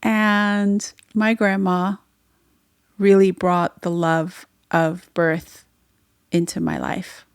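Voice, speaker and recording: A woman's voice: fairly low pitch, little pitch movement, very slow, clear, resonant tone, dry recording, studio quality. A woman whose first language is Farsi, hosting her own podcast and telling her story in an earnest, reflective way. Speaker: podcast host and midwife. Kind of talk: solo podcast monologue.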